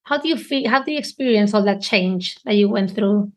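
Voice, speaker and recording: A woman's voice: medium pitch, brisk. A woman hosting a podcast interview, chatting casually and affirming her guest often. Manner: warm affirming, frequent "mm" and "yeah". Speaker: podcast host.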